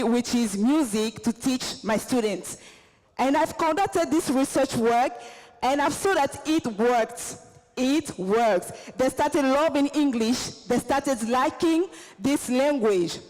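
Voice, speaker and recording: A woman from Côte d'Ivoire with an Ivorian accent, whose first language is Baoulé. She is a teacher and singer giving an earnest, measured speech at a convention. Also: sits high, darkish tone. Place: large convention hall. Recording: echoey hall.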